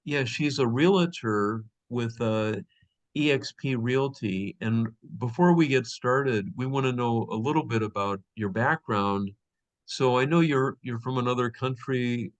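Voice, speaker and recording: A man's voice: mid-range voice, measured pace, dull audio. A middle-aged man hosting a business interview show, talking in a rambling way with lots of fillers. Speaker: host. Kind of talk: interview host chat.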